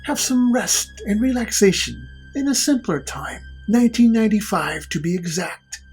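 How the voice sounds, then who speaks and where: A woman playing a cold, mocking villainous boss in an audio drama, with formal, condescending politeness and a husky voice. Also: mid-range voice, expressive, moderate pace, a little mellow, faint music behind, heavily acted, low-quality recording. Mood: stern.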